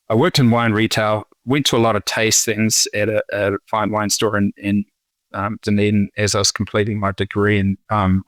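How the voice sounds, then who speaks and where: A New Zealand man in his forties, a winemaker talking casually in an interview, halting with many fillers and restarts. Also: lowish voice, a bit bright, dry recording. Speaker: winemaker guest.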